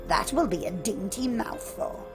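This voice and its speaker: A woman voicing a wicked, mocking old witch, speaking low and slow with menace over faint music. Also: animated. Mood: menacing.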